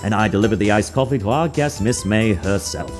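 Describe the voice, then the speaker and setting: A man's voice: fairly low pitch, a little fast, close to an everyday voice. A man voicing a prim, obsequious head bellboy in a video game dub, overly formal and exact. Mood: precise. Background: music.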